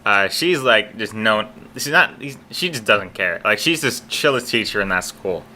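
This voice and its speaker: Teenage boy, podcast host, very bright tone, halting speech with restarts, faint background hiss. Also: lively intonation. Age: teens. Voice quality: naturally deep voice. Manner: hesitant, many restarts and fillers. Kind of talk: casual chat.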